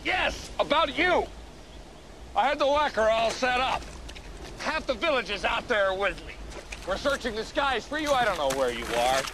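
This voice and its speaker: An actor playing a gruff, middle-aged knight who talks in an exasperated, forceful, scolding way. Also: male voice, extremely high pitch, sing-song, moderate pace, noisy background, heavily acted. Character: gruff weary male knight, middle-aged, hot-tempered.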